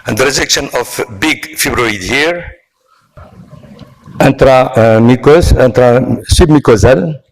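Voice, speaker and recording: A man from Morocco with a Moroccan accent, giving a medical lecture at an online conference in a halting way, pausing often. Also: medium pitch, poor audio. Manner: halting, with frequent pauses and hesitations. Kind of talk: medical presentation.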